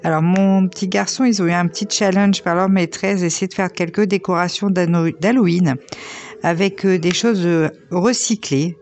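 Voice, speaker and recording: A slightly hoarse woman in her thirties, chatting casually with lots of fillers as she works on a diamond painting at home. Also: lowish voice, steady tone, moderate pace, darkish tone. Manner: chatty, rambling, many fillers. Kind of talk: casual chat while crafting.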